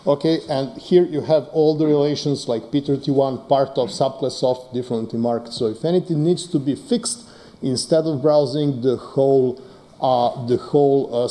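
A man whose first language is Serbo-Croatian, giving a conference talk as a data scientist, halting with many fillers and joking asides. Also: mid-range voice, mellow. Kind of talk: conference talk with live demo.

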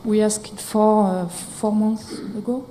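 A woman with a mellow, warm voice speaks in halting, hesitant English with many pauses. She is in the audience of a conference session, with a faint hum of room noise. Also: medium pitch, fairly even intonation. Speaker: audience member.

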